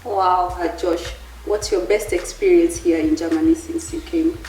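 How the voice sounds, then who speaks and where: A woman's voice: lowish voice, very animated, moderate pace, warm tone. Woman host asking questions, lots of yeah and wow, echoey room, faint hiss. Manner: frequent short affirmations and reactions. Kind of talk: interview hosting. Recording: echoey room from a distant mic, faint noise.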